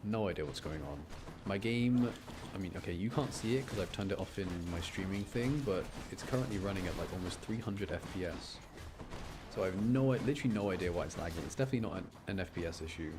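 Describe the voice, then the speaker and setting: A man's voice: low voice, expressive, fast, background noise. A man giving casual, chatty live commentary as a game streamer, often explaining things and interrupting himself. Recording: music behind the voice. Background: music.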